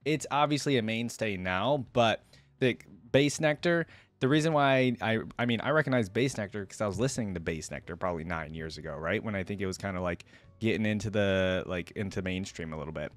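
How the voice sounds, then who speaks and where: A man's voice: mid-range voice, dry recording. A man in his twenties chatting casually to viewers as a reaction host, in loose, slangy speech, close on a desk microphone. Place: small indoor home streaming setup. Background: electronic music.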